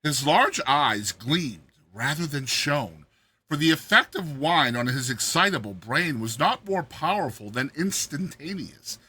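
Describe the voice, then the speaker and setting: A man's voice: on the high side, lively intonation, a little slow, pushed and bright, breathy edge. A man narrating a classic tale as a dramatized reading, theatrical and emphatic.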